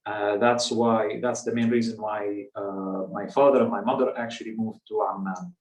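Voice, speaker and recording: A man's voice: fairly low pitch, fairly even intonation, normal speed, darkish tone. Echoey, distant Palestinian man telling his story. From West Bank, Palestinian territories. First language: Arabic. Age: 30s. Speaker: guest speaker. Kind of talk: personal storytelling in a public talk. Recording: echoey room, distant microphone.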